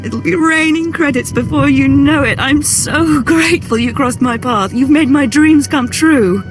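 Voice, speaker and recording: A greedy, bloodthirsty schemer from a video game. Her high voice is coy and eager, flirting over clear background music. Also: measured pace. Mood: smug, seductive.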